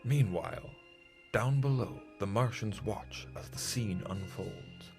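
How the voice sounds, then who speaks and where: Man, retro serial announcer, scripted narration, creaky mid-pitched voice, faint music behind. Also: slow, darkish tone.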